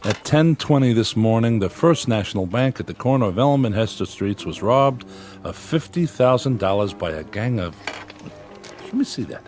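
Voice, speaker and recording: A man playing a skeptical small-town editor in a radio play. His voice is on the low side, with a dry, downbeat, plain-spoken delivery. Music sits underneath the dialogue. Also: animated, moderate pace, a little mellow, lightly acted. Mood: brisk, intrigued. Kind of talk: radio drama acting.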